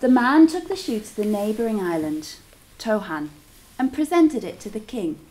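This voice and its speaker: A woman reading a story aloud to children as a teacher, in an expressive, deliberate storytelling style with drawn-out words. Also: medium pitch, rough recording.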